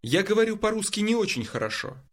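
A man giving careful, measured answers in language drills, repeating each phrase. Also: sits high, big pitch swings, a bit bright. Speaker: model speaker in language drills.

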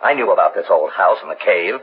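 Man playing a low-voiced cornered villain, old radio. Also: fast talker, close to an everyday voice. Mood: scheming. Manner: defiant, hard-edged, protesting. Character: cornered, scheming male murderer posing as salesman. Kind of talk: 1940s radio drama acting. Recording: dull vintage 1940s radio recording.

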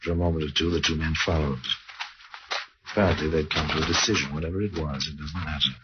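Very deep, ominous radio crime narrator. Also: male voice, fairly even intonation. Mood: wry, ominous. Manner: deliberate, sardonic, theatrical. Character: wry, ominous older male storyteller of true crimes. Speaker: narrator and host of a crime anthology. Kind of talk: old-time radio mystery narration. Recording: dull, noisy old radio recording.

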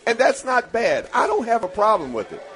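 A man's voice: very high voice, sing-song, brisk, fairly bright tone. A man chatting casually about sports as a radio panelist, repeating phrases for emphasis.

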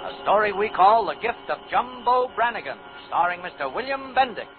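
A man's voice: sits high, big pitch swings, normal speed, very noisy. A man announcing a 1950s radio thriller in a formal, dramatic, scripted style. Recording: thin, narrowband 1950s radio recording. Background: loud dramatic music.

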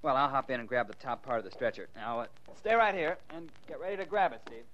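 An actor playing a brisk, matter-of-fact ambulance doctor in 1940s radio drama style. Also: male voice, medium pitch, close to an everyday voice. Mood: grave. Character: brisk male ambulance doctor rattled by a death. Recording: dull, noisy 1940s radio recording.

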